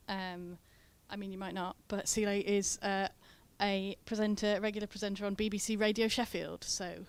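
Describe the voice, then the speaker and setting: A woman hosting a poetry event who introduces the next performer in a chatty way, with fillers and self-corrections. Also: medium pitch. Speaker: event host. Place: indoor event venue.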